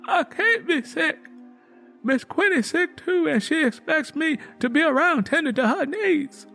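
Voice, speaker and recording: A woman's voice: high-pitched, animated, unhurried, vocal fry, fairly bright tone, faint music behind, pitched above the performer's own voice, exaggerated voice. A man voicing a sick young servant woman who talks in a strained, pleading way.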